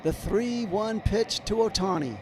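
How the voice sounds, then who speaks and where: A slightly hoarse man doing live play-by-play commentary of a baseball game, calling each pitch as it happens. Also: measured pace, background noise. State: slightly hoarse, clearing throat.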